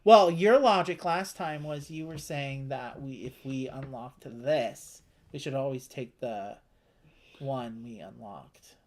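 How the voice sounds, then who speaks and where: A board game co-host with a medium-pitched voice talks at a slow pace. The delivery is casual commentary on rules and choices, as to a fellow player at the table.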